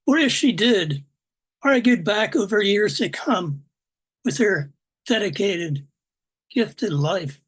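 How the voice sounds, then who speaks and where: A woman reading her own poem aloud in a measured, deliberate way over a video call. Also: mid-range voice, animated, husky. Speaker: poet reading at open mic. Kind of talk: poetry reading.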